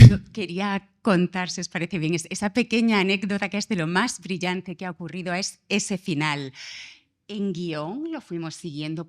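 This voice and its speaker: A woman talking unscripted on a promotional panel as a director, effusive and telling stories. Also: fairly low pitch. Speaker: director on a panel presenting an audio drama.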